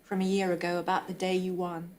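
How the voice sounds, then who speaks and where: A woman's voice: lowish voice, monotone, fast talker, darkish tone. A woman asking questions as a reporter at a press conference. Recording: faint background noise, room ambience.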